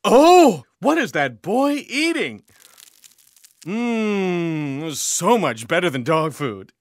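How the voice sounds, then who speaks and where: Tiny giddy alien dude, scatterbrained, high voice that swoops all over, very dry sound. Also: male voice, sing-song, drawn-out words, no echo, full character voice. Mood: distracted wonder. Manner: gleeful, easily distracted, gasping and giggling. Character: excitable, scatterbrained little alien dance champion, formerly a dog. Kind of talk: sci-fi audio comedy drama.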